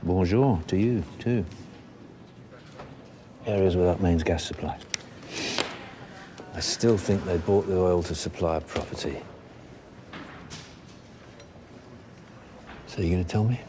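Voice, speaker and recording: An actor plays a male investigator in a TV crime drama. His voice is deep, his manner wry and casual, courteous even while probing. Faint noise sits behind him. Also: normal speed, long pauses, close to an everyday voice. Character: wry, courteous British male investigator making small talk. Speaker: police interviewer. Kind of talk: naturalistic TV drama dialogue.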